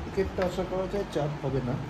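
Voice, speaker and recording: A man's voice: sits high, fast, very noisy. A man explains things casually in a vlog style, mixing in English words. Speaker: motorcycle vlogger. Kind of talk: casual product demo vlog.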